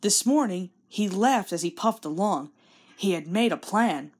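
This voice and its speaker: A woman's voice: medium pitch, lively intonation, measured pace, a little mellow, husky. A woman reading a children's story aloud as scripted narration. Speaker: storybook reader.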